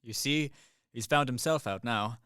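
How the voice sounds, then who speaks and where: A man's voice: mid-range voice, measured pace, sharp tone, smooth, dry recording, clean studio sound. Man as a practical, unflappable professional soldier. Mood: cool, practical. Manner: matter-of-fact, placating. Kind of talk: stage-style radio play acting.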